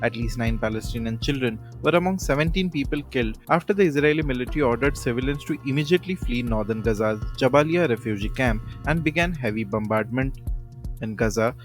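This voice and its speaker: A man with an Indian accent reading scripted news as a presenter, in a formal, measured delivery. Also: mid-range voice, faint music behind.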